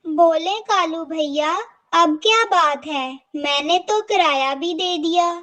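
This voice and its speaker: Someone doing a gentle mama bird in a cartoon, super high voice, talks slow. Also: full character voice. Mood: polite. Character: gentle, hardworking mother sparrow. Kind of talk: Hindi cartoon dialogue.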